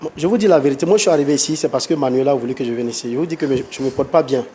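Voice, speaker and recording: A man with a touch of flu argues his side on a talk show. His voice sits at an ordinary pitch and turns defensive and heated fast, with points said twice over. A faint noise runs under it. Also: warm tone. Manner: defensive, quickly heated, repeats himself. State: has the flu. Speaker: guest on a reconciliation show.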